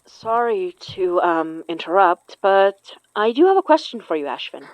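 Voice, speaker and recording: A woman's mid-pitched voice as a blunt, knowing magic practitioner in an audio drama. She is direct and probing, speaking naturally. The recording is dry and a little dull. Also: sing-song, measured pace, no room sound. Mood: tentative. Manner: direct, questioning.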